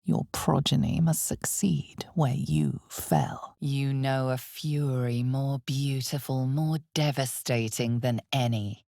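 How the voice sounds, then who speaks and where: A woman playing an ominous, knowing narrator of a dark fantasy game, speaking in a measured, theatrical way that turns hushed and breathy at times. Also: very deep voice, fairly even intonation, no echo, clean studio sound, exaggerated voice. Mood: ominous, solemn.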